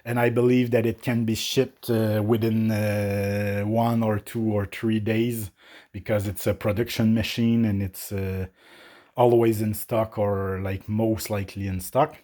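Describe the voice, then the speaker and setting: A man who is not a native English speaker, reviewing espresso machines unscripted from a small apartment kitchen, with many pauses and filler sounds. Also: fairly low pitch, little pitch movement, mellow. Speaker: coffee equipment reviewer.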